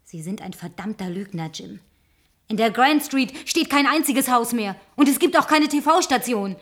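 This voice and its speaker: A woman's voice: on the high side, animated, unhurried, fairly bright tone. An actress in a German radio play, voicing an inquisitive, suspicious, solitary woman with a probing way of talking. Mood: accusing. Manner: probing, questioning.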